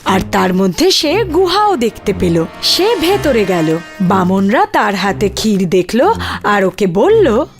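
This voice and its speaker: A woman's voice: medium pitch, sing-song, takes it slowly, soft background music. A woman narrating a scripted cartoon fairy tale as its storyteller.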